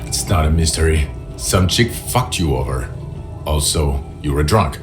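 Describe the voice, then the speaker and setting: An actor playing a jaded, foul-mouthed police officer with a blunt, dry, sardonic delivery in a video game, over music. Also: male voice, rumbling low, animated, unhurried.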